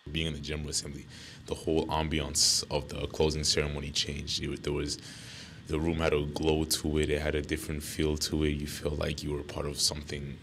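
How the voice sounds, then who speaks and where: Young male interviewee, extremely low pitch, bright edge, slight background noise. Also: completely flat delivery, brisk. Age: young adult. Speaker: student delegate being interviewed. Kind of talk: spontaneous interview answer.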